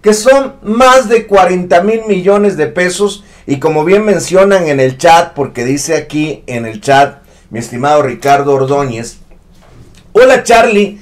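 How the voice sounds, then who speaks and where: A man's voice: fairly high pitch, animated, unhurried. Indignant man hosting a news stream. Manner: indignant, emphatic. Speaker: host of an online news commentary show. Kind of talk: opinionated news commentary. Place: studio set with a news backdrop.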